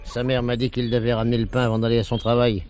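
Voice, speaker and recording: A man's voice: medium pitch, completely flat delivery, fast, darkish tone, lightly acted. An actor playing a dutiful male gendarme sergeant in a French radio drama, formal, respectful and a little hesitant. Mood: matter-of-fact.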